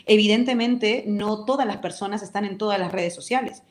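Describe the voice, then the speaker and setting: A woman's voice: medium pitch, fairly quick. A Peruvian woman talks as a radio guest with fierce conviction, pressing each point home. Her voice sits in the middle of the range. The recording carries a roomy echo around her. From Peru. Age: adult with a decade living abroad. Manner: vehement, passionate, speaks her opinions firmly. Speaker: interview guest, talk show host and commentator. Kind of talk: radio interview.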